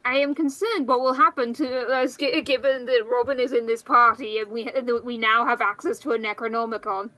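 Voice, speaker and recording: A woman chatting casually as a player in a tabletop game, halting and stammering as she goes. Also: a little high, fairly even intonation, sharp tone, no echo, rough recording.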